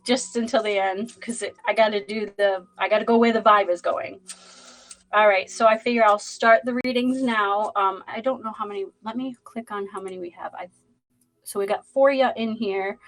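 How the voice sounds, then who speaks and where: A woman's voice: medium pitch, fairly even intonation, normal speed, a bit bright, rough recording. A woman with a Boston accent hosting a zodiac reading livestream, chatting loosely with many fillers and greeting viewers in the chat. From Boston, USA. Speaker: tarot reader hosting a livestream.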